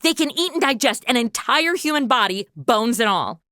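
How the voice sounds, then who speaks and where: Bossy modern gal, played by an actress: high, lively voice, blunt slangy sarcasm, comic radio drama. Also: female voice, moderate pace, no room sound, studio quality. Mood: eager, matter-of-fact. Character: brash, bossy, sarcastic modern young woman. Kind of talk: comedic radio drama acting.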